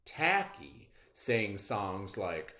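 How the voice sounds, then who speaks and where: A reader narrating a children's story aloud in a theatrical, expressive style. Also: low voice, drawn-out words, echoey room.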